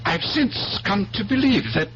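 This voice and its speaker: An actor playing a defensive, evasive professional man, measured and reluctant, in a 1970s radio drama. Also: high-pitched, expressive, fairly bright tone, a little hiss or hum. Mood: defensive, uneasy. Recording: muffled humming 1970s radio recording.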